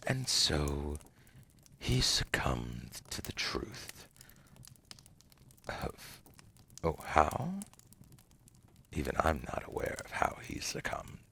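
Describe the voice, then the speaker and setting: An ancient devil acted by a man murmurs in an extremely deep, gravel-laden voice that often drops into breathy whispers. He is slow and deliberate, smug and seductive, with silky menace and formal, theatrical phrasing. It plays as intimate ASMR roleplay. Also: sing-song, sharp tone, a little hiss or hum, full character voice. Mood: smug, ominous. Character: seductive ancient male demon dealmaker, smug, silkily menacing.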